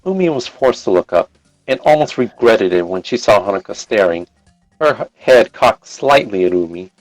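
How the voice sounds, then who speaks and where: A man narrates a story in a fairly low voice. He reads live and now and then stumbles and repeats a phrase. The recording is dry, with faint music behind him. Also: expressive, moderate pace, no room sound, poor audio. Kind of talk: live read-aloud narration.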